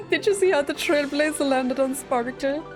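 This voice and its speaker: A woman's voice: sits high, unhurried. A woman doing casual gameplay commentary, reacting aloud in a giggly, self-deprecating way with exclamations. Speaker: game streamer. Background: game music.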